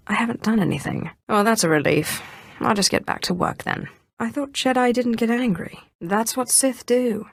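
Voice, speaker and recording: Proud calculating sith sorceress for a video game, lowish voice, dry studio sound, cool and sardonic. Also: female voice, no room sound. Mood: defensive. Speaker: video game player character. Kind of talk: scripted video game dialogue acting.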